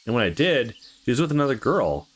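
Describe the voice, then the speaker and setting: A man's voice: medium pitch, sing-song, fast, husky. A man voicing a playful, blunt young woman with slangy speech in a visual novel game. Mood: bitter.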